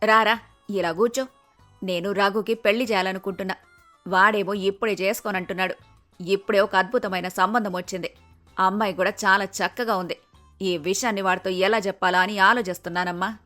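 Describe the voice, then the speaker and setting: A woman voicing a fond, scheming older mother in an animated moral story. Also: mid-range voice, fairly quick, soft background music, lightly acted. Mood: scheming, puzzled.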